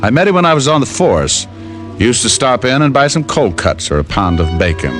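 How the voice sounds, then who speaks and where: An actor playing a wry, self-assured American private detective in an old radio drama, breezy and teasing. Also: male voice, medium pitch, big pitch swings, a little fast, a bit bright, faint music behind. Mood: wry narrating. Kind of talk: 1940s-50s radio drama, first-person narration and dialogue. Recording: old-time radio recording.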